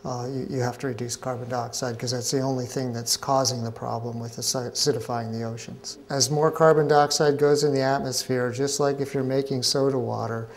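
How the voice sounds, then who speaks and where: A middle-aged man, a scientist being interviewed at a conference pavilion, explaining in a measured way with frequent hesitations. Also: medium pitch, fairly even intonation. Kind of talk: interview answers.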